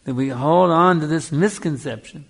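Guy doing a scripture lecture, lots of vocal fry, teachy, says stuff over again to drive it home. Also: on the high side, normal speed, fairly bright tone. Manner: didactic, repeats phrases for emphasis, checks in with "you see". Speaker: spiritual teacher giving a discourse. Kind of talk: Hare Krishna style lecture on scripture.

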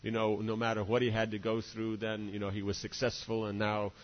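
A man's voice: lowish voice, monotone, brisk. A man giving a devotional lecture, rambling along and checking in with "right?" and "you know" between his points. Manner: discursive, many "you know" and "right?" asides. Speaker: spiritual teacher. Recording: dull reverberant hall recording.

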